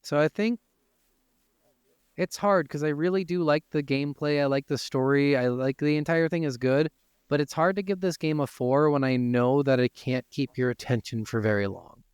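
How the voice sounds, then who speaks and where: A man's voice: on the high side, moderate pace, darkish tone, smooth, no echo, studio quality. A man co-hosting a gaming podcast, giving blunt opinions in casual, informal speech. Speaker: video game podcast co-host.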